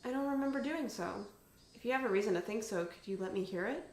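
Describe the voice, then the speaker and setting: A woman's voice: mid-range voice, quick pace, natural voice. A woman voicing a guarded, coolly teasing teenage girl in a story reading. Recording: roomy echo with music behind.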